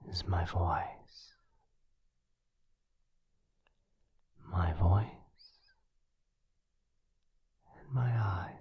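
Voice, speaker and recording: A man playing a gentle, protective vampire lover in an intimate boyfriend ASMR roleplay, tender and hushed, with a breathy, husky voice. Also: sits low, highs cut off, heavily acted. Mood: hypnotic, soothing. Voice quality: breathy, husky, often whispering. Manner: tender, hesitant, reassuring, hushed. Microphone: close microphone.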